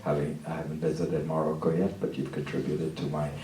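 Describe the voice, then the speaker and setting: A man from Lebanon moderating an audience Q&A with a handheld mic in a hall, soft-spoken and reflective. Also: extremely low pitch, little pitch movement, fairly quick, far from the mic. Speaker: interviewer and moderator. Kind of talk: hosting an audience Q&A. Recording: roomy hall taped from afar.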